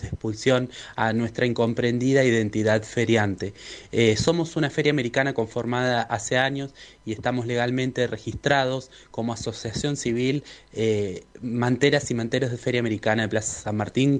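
A man with an Argentine Rioplatense accent speaking as a street vendors' spokesperson, giving an earnest activist statement in formal wording. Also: mid-range voice.

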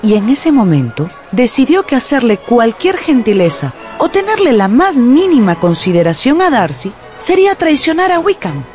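A woman's voice: medium pitch, a little mellow. A woman narrating a radio drama as a scripted storyteller.

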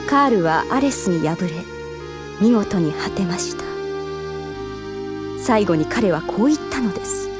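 Woman acting an otherworldly female narrator, medium pitch, solemn, formal, measured dramatic storytelling, devoted, music clearly behind. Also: animated, close to an everyday voice. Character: devoted otherworldly female narrator set on resurrecting a fallen swordsman.

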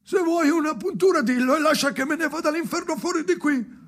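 An actor playing a weary, anguished old man in an experimental radio drama monologue, speaking in halting fragments with a gravelly voice. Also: very high voice. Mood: pleading, desperate. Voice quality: creaky, gravelly. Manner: halting, fragmentary phrases with heavy pauses. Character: weary, anguished old man, haunted storyteller alone by the sea.

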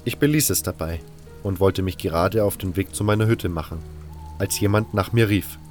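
A man's voice: deep voice, normal speed, a little mellow, faint music behind. A man reading scripted first-person narration for a fantasy story.

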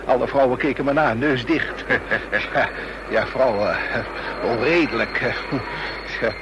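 A man's voice: measured pace, lo-fi sound, close to an everyday voice. Man acting a nervous, stammering travelling salesman. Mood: flustered. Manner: hesitant, stammering, flustered. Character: nervous, evasive travelling salesman hiding something. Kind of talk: Dutch radio drama acting. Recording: noisy radio play with vehicle rumble.

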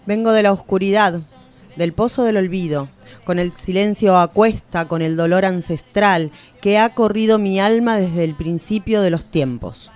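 A woman's voice: medium pitch, moderate pace, a little mellow, close to an everyday voice. An actress playing a sorrowful, reproachful woman who carries ancient suffering, in a solemn radio theatre lament. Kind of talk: declamatory radio theatre recitation.

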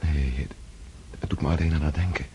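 An actor playing an earnest, gentle young nobleman who stammers when moved, in a radio drama. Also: extremely low pitch, steady tone, brisk, a little hiss or hum. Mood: hushed disbelief.

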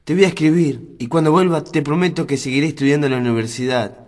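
A man's voice: fairly high pitch, quick pace, a little mellow, dry recording, close to an everyday voice. A man playing an earnest, lovestruck young conscript soldier in a radio play, sincere and deferential. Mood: tender, resolute. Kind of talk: amateur radio play acting. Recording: faint background noise.

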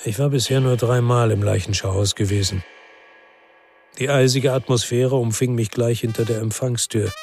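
A man's voice: mid-range voice, fairly even intonation, normal speed, creaky, darkish tone, soft background music, close to an everyday voice. An actor playing a world-weary ex-policeman who narrates a radio crime drama in a brooding, reflective way.

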